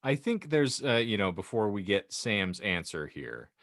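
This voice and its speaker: A man's voice: fairly low pitch, big pitch swings, a little slow, a bit bright, no echo. A man hosting a casual podcast discussion, using wordy, mock-formal phrasing and dry teasing. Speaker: podcast host.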